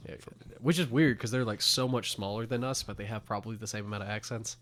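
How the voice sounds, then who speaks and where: A man's voice: fairly low pitch, fairly even intonation, fast talker, a bit bright. A young man with a light Southern accent, co-hosting a casual podcast chat and laughing often. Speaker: podcast co-host.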